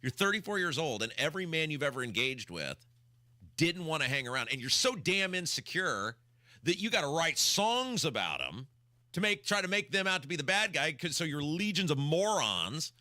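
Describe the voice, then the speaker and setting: A man's voice: a little high, big pitch swings, moderate pace, pushed and bright, no echo, studio quality. A man hosting a radio talk show, giving opinionated banter in an emphatic, exasperated, repetitive ranting style.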